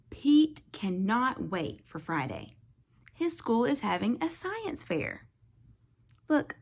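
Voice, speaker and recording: Slow, animated woman reading to young children. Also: a little high, vocal fry, slightly rough. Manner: expressive, explains words and pictures to young children. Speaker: teacher reading aloud to her class. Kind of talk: children's picture book read-aloud.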